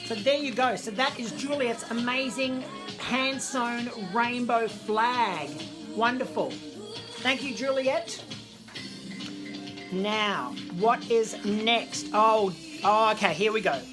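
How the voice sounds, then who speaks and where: Gushy high-voiced lady doing show and tell, theatrical, music going behind her. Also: slow. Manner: theatrical, gushing, addresses audience as darlings. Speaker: host of an online children's story time. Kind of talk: chatty show and tell to viewers. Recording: music playing in the background. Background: background disco music.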